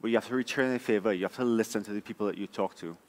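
Deep-voiced man in an echoing hall. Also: rapid-fire. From Trinidad. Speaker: conference speaker, front-end engineer. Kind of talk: prepared conference talk. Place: large conference room. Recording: echoey hall, long reverb.

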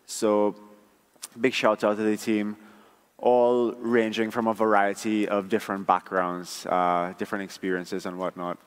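A man delivers a conference talk. He explains things in a measured, conversational way. Long reverb from a large hall trails his words. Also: fairly low pitch, level tone, clear, resonant tone. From Trinidad. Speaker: conference speaker, front-end engineer.

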